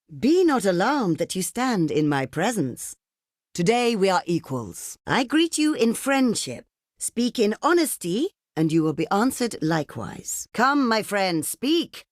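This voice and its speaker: A woman's voice: mid-range voice, sing-song, takes it slowly, dry recording, clean studio sound. Haughty, dramatic desert queen. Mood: gracious. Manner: haughty, theatrical, formal and ornate phrasing. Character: proud, imperious ancient desert queen, scornful and grandly courteous. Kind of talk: video game diplomacy voice lines.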